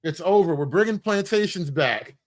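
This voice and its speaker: Animated man riffing in a higher voice. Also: moderate pace. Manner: animated, profane, mock-enthusiastic, repeats phrases for emphasis. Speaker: livestream host and commentator. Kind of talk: casual satirical riffing commentary.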